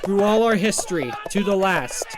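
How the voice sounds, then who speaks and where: A man reads narrative poetry dramatically, in a solemn, measured storytelling manner. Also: high-pitched, lively intonation, heavy background noise. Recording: loud music behind the voice.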